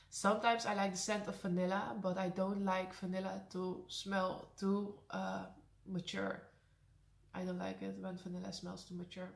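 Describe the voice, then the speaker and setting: A young Dutch woman with a high voice and a Dutch accent, chatting casually through a Q&A, laughing often and slipping in sarcastic asides. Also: gravelly. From Netherlands. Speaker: vlogger. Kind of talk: casual Q&A to camera. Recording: echoey room.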